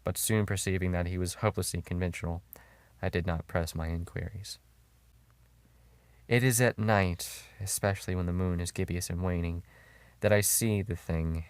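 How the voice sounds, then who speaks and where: A man playing a broken, panic-stricken sailor, telling a horror story in a theatrical, halting way with stumbled words. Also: low-pitched, steady tone, clear, resonant tone, no echo. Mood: bleak resignation. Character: broken, panic-stricken male sailor confessing before death. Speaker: audiobook narrator. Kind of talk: dramatic first-person horror story narration. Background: droning guitar music.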